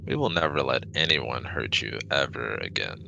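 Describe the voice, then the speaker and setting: A man's voice: a bass voice, expressive, normal speed, vocal fry, bright, forceful tone, a little hiss or hum, heavily acted, low-quality recording. A man voicing a formal, cautious but kind male alien with precise, stiff wording in a sci-fi ASMR roleplay. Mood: formal, reassuring.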